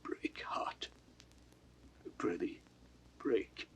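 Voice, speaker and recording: An actor playing a loyal, grieving nobleman in a classical Shakespeare audio drama, solemn and often hushed. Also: extremely slow, whispered. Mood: broken sorrow. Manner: hushed, solemn, breathy declamation. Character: loyal grieving nobleman, devoted servant to the king. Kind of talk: 1960s recorded Shakespeare drama, classical stage acting.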